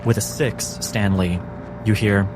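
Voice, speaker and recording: A man acting as game master, narrating a cinematic tabletop story in a measured, descriptive, instructive manner. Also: on the low side, fairly even intonation, over music.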